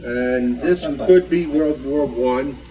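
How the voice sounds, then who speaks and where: A man explaining informally as an enthusiast, haltingly, often checking in with an "okay". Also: medium pitch, muffled recording. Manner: enthusiastic, hesitant, tags statements with "okay". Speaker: club member, vacuum tube enthusiast. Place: club meeting room. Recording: distant mic, faint room noise.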